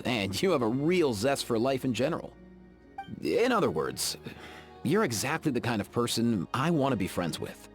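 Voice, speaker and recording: An actor voicing an easygoing, friendly young veterinarian in a video game, with faint music behind. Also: male voice, medium pitch, close to an everyday voice. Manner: easygoing and friendly, with frequent laughs. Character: laid-back young man, a veterinarian who keeps saurians. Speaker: game character. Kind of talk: game voice acting.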